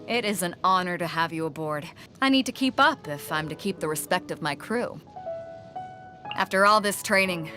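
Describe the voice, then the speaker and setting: A woman's voice: medium pitch, a bit bright. Game role: bold female fleet captain, generous, swaggering and hearty, easygoing confidence, music underneath. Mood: gratified. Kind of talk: video game character voice lines.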